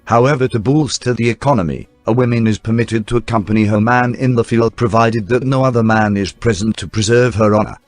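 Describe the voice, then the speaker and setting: A man reading scripted newsreel documentary narration in a formal, measured announcer style. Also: mid-range voice, no echo. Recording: dry voice-over with faint music bed. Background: faint background music.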